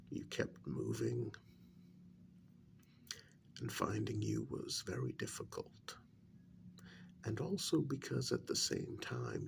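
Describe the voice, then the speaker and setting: A man playing a polite, composed agent interrogating a captive in a soft-spoken ASMR role-play, hesitant and measured, a little patronizing. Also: mid-range voice, creaky, exaggerated voice. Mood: frank, probing.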